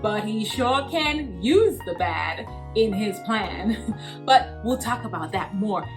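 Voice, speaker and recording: A woman hosting a children's Bible lesson, cheerful and animated, laughing often as she talks to kids. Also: mid-range voice, slow, music in the background. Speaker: children's story host.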